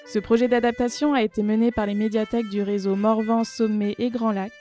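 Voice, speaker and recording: Woman reading end credits, relaxed mellow voice, music under it. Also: on the high side, fairly quick. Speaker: credits announcer. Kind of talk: scripted narration.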